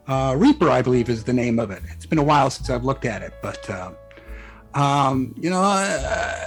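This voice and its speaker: Higher-voiced man chatting, hesitant and rambling. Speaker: interview guest, fan fiction author and hobby musician. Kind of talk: casual interview chat.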